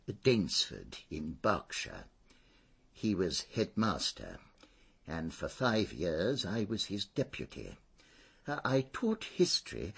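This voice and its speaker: An actor playing a fussy, dignified older former schoolmaster in a radio drama, an English man speaking in a hesitant, precise, formal way with a husky voice. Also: low voice, exaggerated voice.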